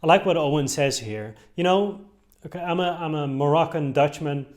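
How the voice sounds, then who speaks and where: A man's voice: fairly high pitch, moderate pace, a little mellow. A young Dutch-born man of Moroccan roots commenting on a topic in a casual, hesitant way, with many fillers and restarts. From Netherlands. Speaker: video host commenting on clips.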